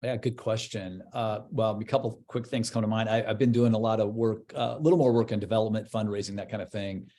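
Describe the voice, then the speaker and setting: A man's voice: on the low side, steady tone, quick pace, hardly any pauses, mellow. A man in his fifties who is a guest in an interview. He speaks thoughtfully and casually, often searching for words and trailing off. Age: 50s. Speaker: church leader guest.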